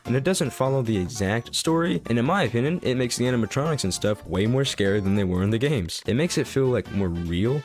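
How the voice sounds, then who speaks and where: A man's voice: lowish voice, darkish tone. A man giving casual, irreverent, self-deprecating video commentary, swearing freely as he explains. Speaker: YouTube host. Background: background music.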